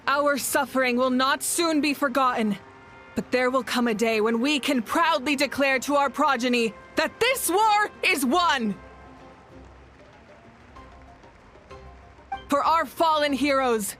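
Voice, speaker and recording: A woman playing a commanding warrior leader in a video game, solemn and rallying, speaking with measured, dignified oratory. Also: high voice, pushed and bright, exaggerated voice. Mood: rousing.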